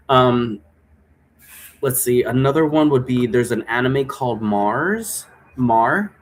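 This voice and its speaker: A man hosting a solo vlog, talking in a chatty, informal, meandering way. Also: mid-range voice. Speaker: host. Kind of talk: casual rambling solo vlog. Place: indoor room at home.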